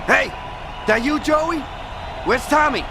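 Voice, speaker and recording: An anxious gangster crewman, very high and creaky for a male voice. It is a crime game scene with clear noise behind. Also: slow, heavily acted. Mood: hurried.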